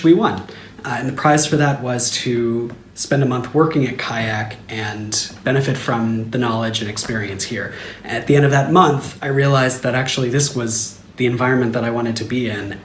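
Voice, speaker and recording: An American man telling his career story in a lively, upbeat way. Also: medium pitch, moderate pace, slight background noise. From United States. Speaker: product manager. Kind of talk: casual testimonial. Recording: echoey room, faint ambience.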